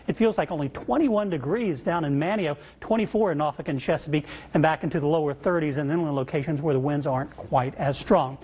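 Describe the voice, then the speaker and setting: High-voiced male TV weather forecaster. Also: a little mellow, husky. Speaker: TV meteorologist. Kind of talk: live broadcast weather forecast.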